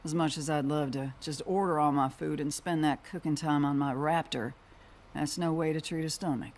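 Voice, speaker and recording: A gruff cowgirl bounty hunter. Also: low-pitched, fairly even intonation, normal speed, darkish tone, a little hiss or hum. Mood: businesslike. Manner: dry, sardonic, folksy. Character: gruff, world-weary female mech-pilot bounty hunter in a post-apocalyptic western. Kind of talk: video game cutscene acting. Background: background music.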